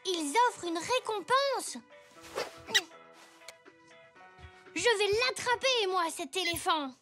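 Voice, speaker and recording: Excitable little zebra boy, really high voice, unhurried, cartoon with music. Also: heavily acted. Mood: excited. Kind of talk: French children's cartoon dialogue. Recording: music behind the voice.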